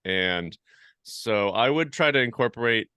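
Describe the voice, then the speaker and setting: A man's voice: mid-range voice, lively intonation, unhurried, bright, forceful tone, clear, resonant tone, no room sound. A man who is a comedian, guesting on a podcast, telling self-deprecating stories and laughing often. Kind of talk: casual interview chat.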